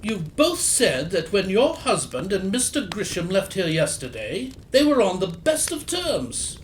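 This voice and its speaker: A man's voice: high-pitched, huge pitch swings, unhurried, exaggerated voice. A man playing an earnest, polite doctor and loyal companion to a detective in a period mystery audio play, formal and inquisitive. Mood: earnest, inquiring. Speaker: actor in audio drama. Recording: echoey room, faint background noise.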